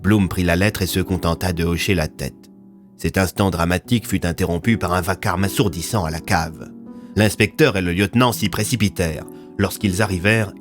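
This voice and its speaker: A man narrating his audio fiction from a script as its author. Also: low-pitched.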